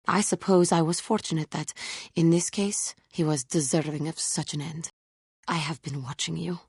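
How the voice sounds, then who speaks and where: A brooding female alien warrior from a video game, with a low, husky voice recorded close and dry. She speaks in solemn, formal, measured phrases, earnest and full of self-doubt. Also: a little mellow, dry recording. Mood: worried, reproachful. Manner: formal, solemn, measured speech without contractions. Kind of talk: video game character acting.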